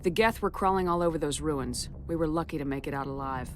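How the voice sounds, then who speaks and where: An actress playing a military starship commander in a video game, firm, direct and authoritative. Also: female voice, deep voice, fast talker, soft background music, close to an everyday voice. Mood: blunt, defensive. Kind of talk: video game dialogue acting.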